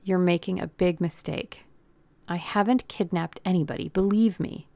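Audiobook villain: gruff, bossy male executive played by a woman, irritable, gravelly, close dry sound. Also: lowish voice, moderate pace, mellow, dry recording. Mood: insistent. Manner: irritable and dismissive. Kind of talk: audiobook character acting.

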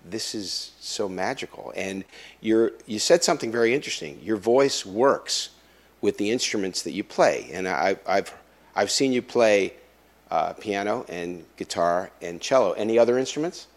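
A man hosting an interview, talking in a halting way with many restarts and fillers. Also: on the low side, lively intonation. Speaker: interviewer host. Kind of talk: conversational interview.

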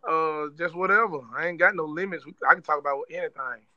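American man, casual slangy talker, dry close sound, very bright tone. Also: a little high, fast, no room sound, lo-fi sound. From United States. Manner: relaxed, slangy, stammers at starts. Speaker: mailman. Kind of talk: casual conversation.

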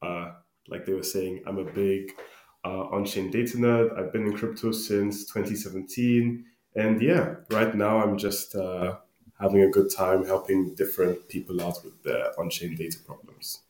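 Low-voiced young man, echoey room. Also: steady tone, moderate pace, a little mellow. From Ghana. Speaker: podcast guest. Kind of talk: casual conversational interview answers. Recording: roomy, echoey voice recording.

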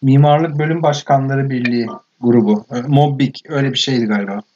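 Casting: male podcast interviewer, mid-pitched, conversational, on a slightly noisy recording. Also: a little fast, a little mellow. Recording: dull lo-fi sound with noise.

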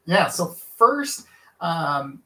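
A man's voice: on the high side, big pitch swings, drawn-out words, pushed and bright. A man from Kansas, an author and lawyer, telling his life story in a casual interview, rambling with lots of fillers and restarts. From Kansas, USA. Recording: echoey room.

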